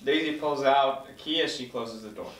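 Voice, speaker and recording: A man's voice: medium pitch, moderate pace, a bit bright. A man reading stage directions as the narrator of a scripted table read. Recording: roomy echo, distant mic.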